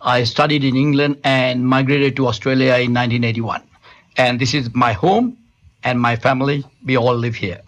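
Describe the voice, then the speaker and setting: A man's voice: medium pitch, steady tone, normal speed, a bit bright, dull audio. Hesitant man from Sri Lanka. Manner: hesitant, many restarts and fillers. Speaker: telecom company founder, guest. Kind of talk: studio interview answers. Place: studio.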